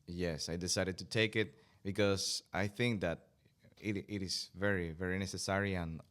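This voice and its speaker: Brief: young Venezuelan man, Spanish native, very deep voice, halting answers as an interview guest. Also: steady tone, fairly bright tone. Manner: hesitant, many fillers and restarts. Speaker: student guest. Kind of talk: podcast interview.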